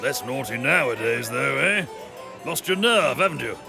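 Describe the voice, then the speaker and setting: Gravelly heckling tavern gentleman. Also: mid-range voice, expressive, moderate pace, over music. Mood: taunting. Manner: taunting, blustering. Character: heckling male gambler, a goading tavern gentleman. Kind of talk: period audio drama acting.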